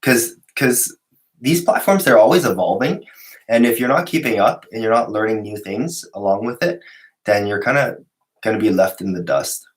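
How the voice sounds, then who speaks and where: A man with a cold hosting a casual live-stream Q&A, reading out viewer questions and answering in a relaxed, rambling way with lots of fillers and restarts. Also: on the low side, moderate pace. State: sick, voice lower than usual.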